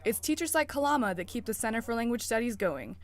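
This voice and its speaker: A woman's voice: a little high, animated, fast talker, a little hiss or hum. A young adult woman reporting a student news story in a scripted, upbeat newsreader delivery.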